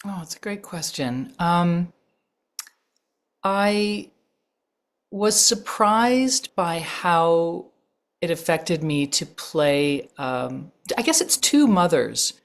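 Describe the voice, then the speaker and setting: A woman with a low voice giving thoughtful, measured answers as an actress guest in an interview, pausing often. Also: animated. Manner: thoughtful, measured, with frequent pauses and fillers.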